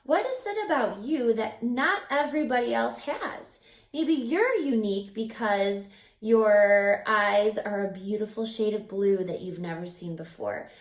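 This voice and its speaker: A woman reading a picture book aloud to young children, expressive and emphatic, addressing the little listeners directly. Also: mid-range voice. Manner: asks the listeners questions, emphatic, drawn-out phrasing. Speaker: storyteller, children's book reader. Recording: echoey room.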